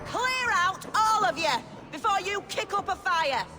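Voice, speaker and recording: Very high-pitched scolding alewife. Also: female voice, animated, measured pace, a crowd in the background, background noise. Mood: angry, scolding. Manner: bossy, scolding. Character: scolding, no-nonsense tavern keeper. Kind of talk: video game voice acting.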